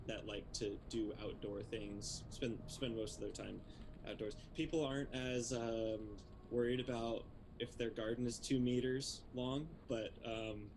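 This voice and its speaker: A man from Texas, hosting a relaxed interview in a friendly, easygoing way. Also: medium pitch. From Texas, USA. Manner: friendly, easygoing, laughs often. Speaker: podcast host. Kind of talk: casual interview chat. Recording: loud ambient and vehicle noise.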